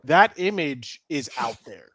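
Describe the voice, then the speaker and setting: A man's voice: on the high side, animated, unhurried, bright, forceful tone, slightly rough, dry recording. A man on a casual sports podcast panel, easygoing and full of fillers. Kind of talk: casual chat.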